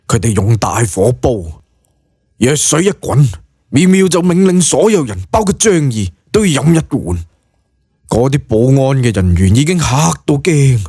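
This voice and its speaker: A man's voice: medium pitch, dark tone, husky, no echo, clean studio sound. A man with a Cantonese accent narrating an audiobook story in a dramatic, colloquial storytelling style.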